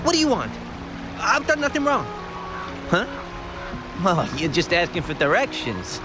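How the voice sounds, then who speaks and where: An actor playing a nervous, defensive stranger in a video game, stammering and wary. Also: male voice, high voice, wildly swooping pitch, measured pace, highs cut off, heavily acted. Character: jittery, defensive male stranger, wary and bitter. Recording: music behind the voice.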